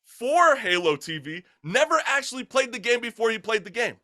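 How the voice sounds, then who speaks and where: A man's voice: very high-pitched, very animated, normal speed, sharp tone. A man hosting a talk show in an opinionated, conversational way, asking emphatic rhetorical questions with casual slang and mild swearing.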